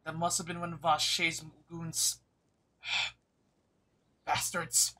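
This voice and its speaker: A male game streamer reacts casually and bluntly as he follows along. His voice is high-pitched, bright and forceful, with an excitable delivery. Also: extremely slow. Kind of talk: casual live reaction commentary.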